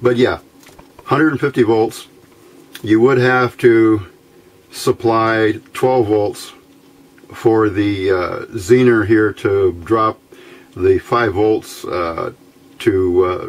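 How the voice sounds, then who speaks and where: Male, middle-aged, lowish voice. Hobbyist builder talking through hands-on work informally, no rush. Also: fairly even intonation. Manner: informal, unhurried, explaining step by step. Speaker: amateur radio builder presenting a project. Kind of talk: casual hands-on electronics demonstration. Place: indoor workbench.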